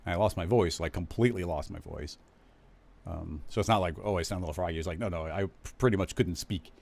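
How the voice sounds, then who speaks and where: A man hosting a live stream, casually talking viewers through a software tutorial, rambling and correcting himself as he goes. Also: low-pitched, fast talker, creaky. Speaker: live stream host teaching 3D animation software.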